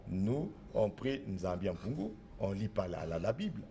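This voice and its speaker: A man's voice: fairly low pitch, very animated, unhurried, creaky, mellow. A middle-aged man from Congo, a traditional spiritual master, answering interview questions in an assertive, insistent way that repeats points. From Republic of the Congo.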